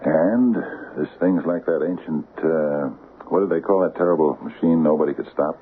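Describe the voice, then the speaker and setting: An actor playing a weary, guilt-ridden small-town barber with a halting, sardonic delivery in a radio drama. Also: male voice. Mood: weary, rueful. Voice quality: deep, gravelly. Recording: tinny, noisy old radio broadcast.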